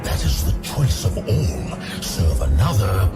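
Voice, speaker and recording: An actor playing a huge, domineering demon lord in a fantasy audio drama, cold, grand and commanding. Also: male voice, low voice, wildly swooping pitch, slow pace, exaggerated voice. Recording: loud music bed over voice.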